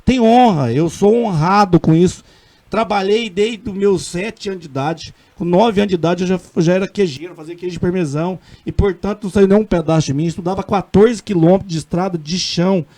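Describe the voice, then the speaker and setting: A man's voice: high voice, a little fast, darkish tone. A middle-aged man with a Minas Gerais accent, a city councilman speaking earnestly and emphatically in a radio interview, often restarting his sentences. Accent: Minas Gerais Portuguese. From Brazil.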